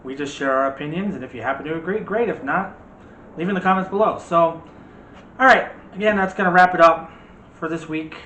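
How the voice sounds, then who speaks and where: A man's voice: a little high, brisk, sharp tone. A man hosting a casual movie discussion with playful, teasing banter. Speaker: host of a movie channel. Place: indoors in an echoey room. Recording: echoey room with faint background noise.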